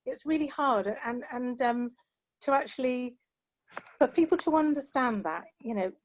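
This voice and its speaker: A woman's voice: fairly high pitch, lively intonation, normal speed, low-quality recording. A middle-aged woman with a British accent, sharing personal reflections in an earnest, heartfelt way and often saying "you know" as she talks. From England. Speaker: panel guest.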